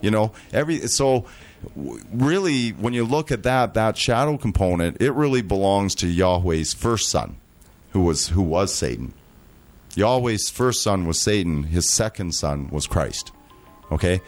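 A man speaking earnestly and slowly as an interview guest, often restarting his sentences. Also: low voice, big pitch swings. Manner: slow, deliberate, with frequent restarts. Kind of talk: earnest conversational monologue.

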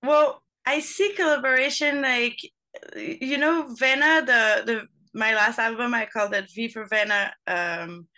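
Bright-voiced woman, halting speech. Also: a little high, steady tone. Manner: searching for words, frequent restarts. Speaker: trumpet player guest. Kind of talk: casual interview answers.

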